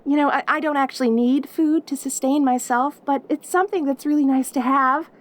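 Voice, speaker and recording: A woman's voice: high-pitched, fairly even intonation, a little fast, close to an everyday voice. A woman acting a lonely, clingy caged demon girl in an audio roleplay, perky and cutesy, gushing and stammering at the listener. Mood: delighted, excited.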